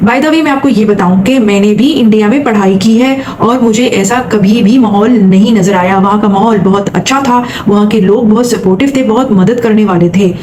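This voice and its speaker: A woman's voice: medium pitch, normal speed, few pauses, a little mellow, slight background noise. A woman from Saudi Arabia hosting a vlog, giving commentary and retelling someone else's account. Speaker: vlog host.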